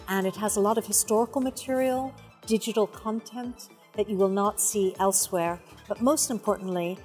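A woman's voice: mid-range voice, a little mellow. A middle-aged woman, a curator, explaining her work in an on-camera interview. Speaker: exhibition curator and research director. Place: indoors in a museum exhibition gallery.

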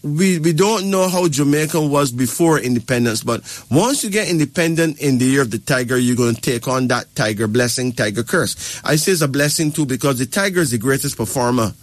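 A man's voice: mid-range voice, fairly quick, hardly any pauses, no room sound. A man from Barbados, giving advice in a lecturing way and often checking in with "right?" or "okay?". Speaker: spiritual advisor. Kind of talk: casual advice talk.

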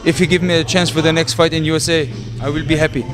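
A man's voice: on the high side, level tone, fast talker. A man in his thirties from the Middle East with a German accent, a heavyweight boxer answering in earnest, broken English into a handheld mic in an indoor gym. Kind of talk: interview answers. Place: large boxing gym, indoors. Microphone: handheld interview mic. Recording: clear background music. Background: music in the gym.

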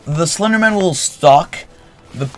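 A man's voice: fairly high pitch, animated, a little slow, fairly bright tone. Rambling young man with a cold. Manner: rambling with many fillers and self-corrections. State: getting a cold, clears throat. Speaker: gaming channel host. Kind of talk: casual storytelling over gameplay. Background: faint game gunfire.

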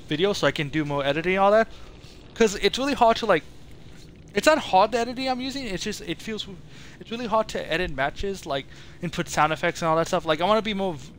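A man's voice: high voice, fairly bright tone. A man chatting casually as a game streamer signing off to his viewers, rambling and correcting himself as he goes. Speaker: gaming streamer and video creator. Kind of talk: casual chat, video outro. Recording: noisy livestream capture.

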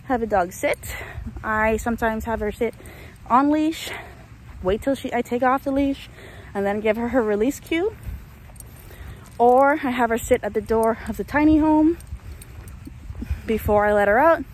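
A young woman with a slightly high voice chats and rants while walking her dog across an open field. She holds her phone at arm's length, and the outdoor recording carries a faint hiss of rain and open air. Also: measured pace, long pauses, darkish tone. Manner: chatty, ranting, laughs often. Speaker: dog trainer vlogger. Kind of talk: walk-and-talk vlog. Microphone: phone held at arm's length.

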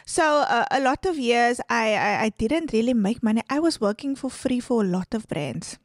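Brief: woman, 30s, Afrikaans accent, bit high-pitched, candid podcast guest, dry studio sound. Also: normal speed, no echo. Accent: South African, Afrikaans. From South Africa. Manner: candid, animated, mixes in Afrikaans. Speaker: guest influencer. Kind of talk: casual podcast interview. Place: small studio set with sofa. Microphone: boom mic on stand.

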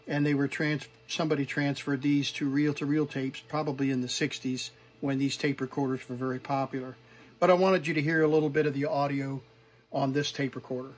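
A middle-aged man presenting from home, showing vintage gear in an unscripted, halting, informal and appreciative way. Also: fairly high pitch. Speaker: vintage tape recorder collector and presenter. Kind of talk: unscripted hands-on demonstration.

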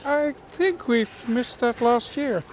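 A vlogger talks in an everyday conversational way at a middling pitch. It is recorded outdoors on a walk, with faint ambient noise behind the words. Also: takes it slowly. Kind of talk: casual walking vlog chat. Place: outdoors walking in a snowy theme park.

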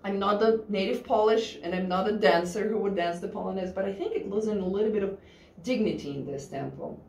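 A woman's voice: mid-range voice, a little fast, a little mellow, a little hiss or hum. A woman in her thirties teaching piano in a casual, chatty way, thinking aloud with fillers. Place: studio room with a grand piano. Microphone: distant camera mic. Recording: roomy, distant mic with piano.

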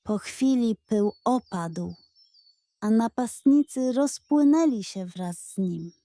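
A woman narrating a children's audio story in a dramatic, measured storytelling manner. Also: fairly high pitch, animated, mellow, no echo.

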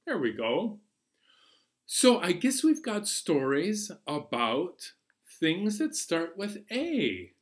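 A man in his forties presenting a storytime for young children in an animated, playful manner, recorded at home in a small room. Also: fairly high pitch. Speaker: children's library storytime host.

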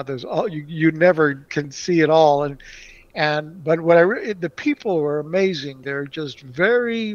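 A man's voice: fairly high pitch, no echo, highs cut off. A man who grew up in Puerto Rico, answering interview questions in a rambling way with many restarts and fillers. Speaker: guest.

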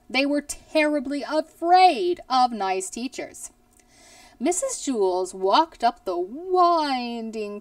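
A woman in her thirties reading a children's story aloud expressively, in a deliberate storyteller's manner. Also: fairly high pitch, no echo, distant mic. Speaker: teacher reading aloud to pupils. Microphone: headset boom mic.

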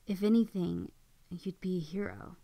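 A woman playing a pragmatic, steady female survivor in an animated film. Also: on the low side, moderate pace, gravelly, dry recording, exaggerated voice. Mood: challenging.

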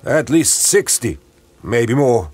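An actor playing a terse, watchful warrior scout in an audio drama. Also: male voice, mid-range voice, big pitch swings, slow pace, darkish tone, breathy edge, exaggerated voice.

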